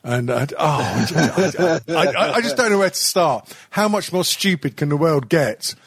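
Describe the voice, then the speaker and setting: A man's voice: a little high, big pitch swings, moderate pace, a bit bright, breathy edge, dry recording, studio quality. A British man hosting a podcast, losing his voice, rambling and swearing with frequent laughter. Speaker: podcast host. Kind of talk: casual profane chat.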